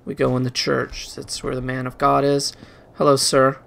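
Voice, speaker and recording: A man's voice: mid-range voice, monotone, normal speed, creaky, fairly bright tone. A man giving casual video game commentary, rambling and muttering playfully to himself as he plays.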